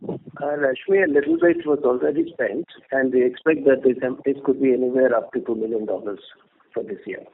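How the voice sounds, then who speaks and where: A man giving measured, brief business answers as a company executive. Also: mid-range voice, very animated, gravelly, slightly rough. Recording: narrow phone line, faint noise.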